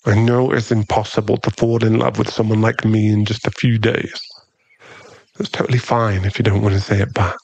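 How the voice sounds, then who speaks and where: A man's voice: fairly low pitch, level tone, normal speed, gravelly, a little mellow, dull audio, a little hiss or hum. A man playing a brooding, protective mafia boss in love, in an intimate ASMR boyfriend roleplay. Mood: vulnerable, confessing.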